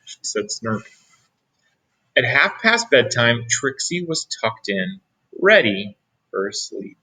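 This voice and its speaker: A man reading a picture book aloud as a teacher, pausing for asides to young listeners. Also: mid-range voice, big pitch swings, sharp tone, breathy edge, poor audio. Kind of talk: read-aloud storytelling.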